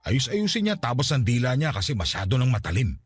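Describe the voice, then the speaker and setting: A man's voice: medium pitch, sing-song, fast, breathy edge, faint music behind. An actor playing an ailing middle-aged Filipino father and former seaman, tender and wounded, speaking earnestly in a radio drama. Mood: hurt, sorrowful. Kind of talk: Tagalog radio drama acting.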